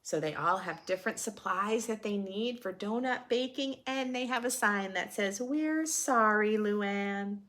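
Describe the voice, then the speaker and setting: A woman reading a children's storybook aloud in a dramatic, playful teacher's way, pausing to put questions to the listener. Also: mid-range voice, fairly bright tone. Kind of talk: expressive storybook read-aloud with teaching asides.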